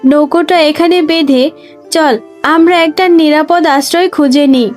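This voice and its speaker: A woman's voice: sits high, moderate pace, a little mellow, faint music behind, close to an everyday voice. A woman voicing a caring mother fox in a children's fairy-tale cartoon, talking in a soothing, explanatory way.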